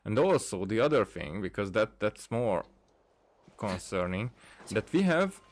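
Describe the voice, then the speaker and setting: A man giving casual gameplay commentary, rambling and thinking aloud with halting phrases. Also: fairly low pitch, big pitch swings. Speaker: gaming streamer. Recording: game music behind the voice. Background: video game music.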